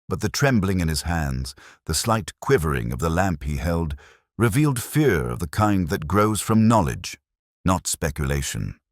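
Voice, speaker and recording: Male narrator for a scripted mystery: very deep register, lively intonation, solemn and measured storytelling. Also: no room sound, studio quality. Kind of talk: scripted first-person audio mystery narration.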